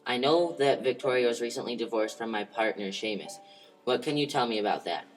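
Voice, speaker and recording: A man's voice: medium pitch, lively intonation, brisk, soft background music, close to an everyday voice, rough recording. A man playing an earnest police detective in an amateur old-time radio mystery. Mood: questioning. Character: male police detective, earnest partner investigating a murder.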